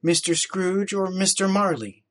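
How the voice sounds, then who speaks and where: A man's voice: high-pitched, measured pace, a bit bright, voice pushed up. A man puts on a well-mannered boy with a raised, eager voice. He speaks formally and with some hesitation, careful to stay respectful. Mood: earnest. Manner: polite, formal, slightly hesitant. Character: boy, polite, earnest young nephew.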